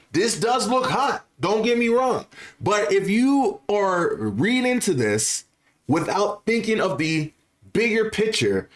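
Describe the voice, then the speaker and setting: Man with a slightly high voice, casual commentary. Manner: repeats phrases for emphasis. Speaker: video creator and host. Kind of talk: casual opinion commentary on gaming news, partly reading aloud.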